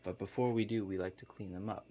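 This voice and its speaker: Man performing as easygoing building contractor, deep register, ASMR role play, matter-of-fact, halting delivery, slight background noise. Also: fairly even intonation, warm tone, lightly acted. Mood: casual, unhurried. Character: Chuck, a laid-back male construction contractor.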